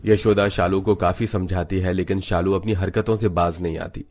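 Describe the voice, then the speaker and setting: Low-voiced male storyteller. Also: fairly even intonation, normal speed, darkish tone. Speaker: storyteller, narrator. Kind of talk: scripted story narration.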